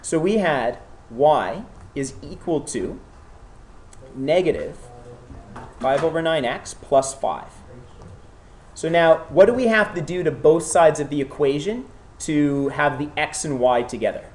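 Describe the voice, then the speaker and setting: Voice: mid-range voice, lively intonation, unhurried, darkish tone, slight background noise. A math teacher who has a cold gives a classroom lecture, often checking in with students and encouraging them.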